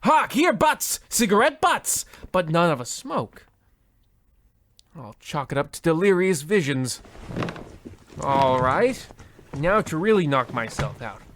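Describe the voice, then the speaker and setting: A man's voice: a little high, sing-song, slow pace, pauses often, a bit bright, heavily acted. An actor playing a pompous, overwrought husband half out of his wits, theatrical and florid, in a comedic old-time radio play. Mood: indignant.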